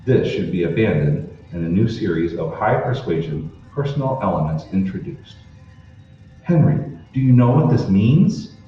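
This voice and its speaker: A man's voice: low voice, expressive, normal speed, faint music behind. A man playing an earnest, determined radio-drama hero who uncovers a conspiracy, speaking with urgent emphasis. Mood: intent. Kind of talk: old-time radio drama acting. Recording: echoey hall, distant, muffled, noisy.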